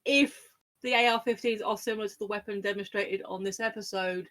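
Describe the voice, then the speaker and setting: A woman's voice: medium pitch, steady tone, fairly quick, a bit bright. A woman co-hosting a podcast, explaining things in casual conversation. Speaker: podcast co-host.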